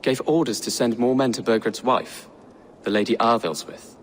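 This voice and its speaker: A young actor playing an earnest, anxious young Saxon prince who speaks in a hurried, unsure way, in a video game cutscene. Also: male voice, mid-range voice, steady tone, slight background noise, natural voice. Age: young adult.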